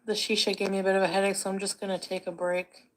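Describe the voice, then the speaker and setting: A woman's voice: mid-range voice, level tone, fast talker, slight background noise, low-quality recording. A woman from Canada co-hosting a livestream, greeting viewers by name and answering chat questions while nursing a headache. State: has a headache. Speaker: livestream co-host. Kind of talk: casual livestream chat, reading viewer comments.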